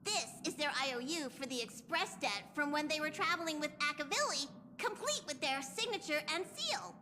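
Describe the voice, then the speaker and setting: A woman voicing a breezy, teasing, lively female character in a video game. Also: high-pitched, moderate pace, long reverb tail, over music.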